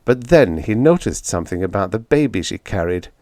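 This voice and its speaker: A man's voice: on the low side, lively intonation, fairly quick, a little mellow, no room sound, studio quality. A man reading a scripted short story aloud as an audiobook narrator.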